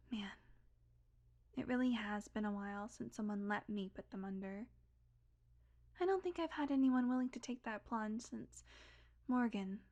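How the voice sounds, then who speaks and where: Sardonic female vampire , played by an actress, higher-pitched, intimate and wry, brash but secretly tender, for audio roleplay. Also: a little slow, pauses often, a little mellow, lo-fi sound. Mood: wry, confiding. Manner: casual, confiding, wry, swearing, drifting into whispers.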